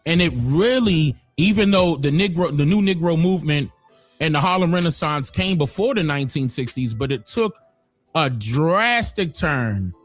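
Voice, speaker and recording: A man hosting a livestream, giving emphatic opinionated commentary that swings from loud exclamations to low muttering. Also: no room sound. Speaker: livestream host.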